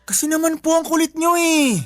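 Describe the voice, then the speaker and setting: A man's voice: very high-pitched, completely flat delivery, a little fast, a bit bright. A man playing a sullen, stubborn twenty-year-old son who argues back in a radio drama. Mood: whiny, defiant. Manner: whiny, argumentative.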